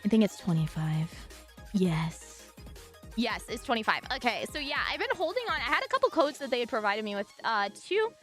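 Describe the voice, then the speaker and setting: A woman's voice: a little high, very animated, a little fast, a bit bright, soft background music. A woman streamer chatting bubbly with her live audience, greeting viewers by name and announcing a giveaway.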